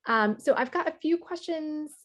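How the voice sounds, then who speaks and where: A woman's voice: sits high, lively intonation, moderate pace, fairly bright tone. A woman hosting an interview, encouraging her guest and laughing often. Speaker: interview host.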